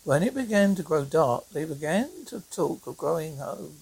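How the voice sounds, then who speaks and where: A reader narrating a folk tale aloud in a halting amateur way, stumbling over words, with a husky, creaky voice. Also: mid-range voice, big pitch swings, a little mellow, poor audio. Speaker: storyteller reading a fairy tale.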